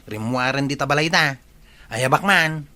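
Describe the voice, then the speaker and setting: Bright, teasing village helper. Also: male voice, medium pitch, normal speed. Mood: teasing, cheerful. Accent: Ilocano Filipino. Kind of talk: Ilocano comedy radio drama acting.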